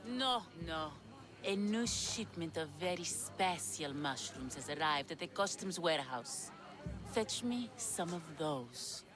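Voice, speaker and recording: A woman playing a sly witch in a video game, with a deliberate, theatrical, coaxing delivery. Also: low-pitched, animated, over music, poor audio. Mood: assured, scheming. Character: sly witch of Hecate peddling potions, slow, sultry. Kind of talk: dramatic video game acting.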